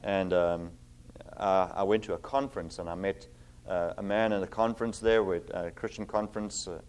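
A middle-aged man from South Africa with a South African accent, preaching a sermon as a visiting pastor, earnest with many fillers, reading scripture slowly and with emphasis. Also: deep voice, steady tone, darkish tone, smooth.

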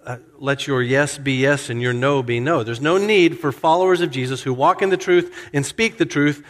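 Preacher with a long hall echo. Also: male voice, moderate pace. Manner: expository preaching, acts out quoted lines with rising pitch. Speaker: pastor. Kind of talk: sermon. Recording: hall reverb.

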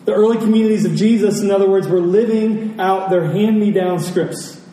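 A man preaching a sermon in a deliberate, emphatic way, with dramatic pauses between phrases. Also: high-pitched, warm tone. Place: church service, speaking to congregation. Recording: roomy echo, distant mic, faint noise.

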